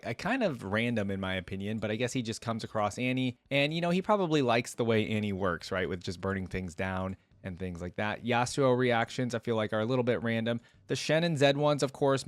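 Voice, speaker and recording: A man's voice: mid-range voice, no room sound. A man hosting a reaction video, talking informally and ramblingly as he thinks aloud into a close microphone. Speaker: video host reacting to game voice lines. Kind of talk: casual reaction commentary.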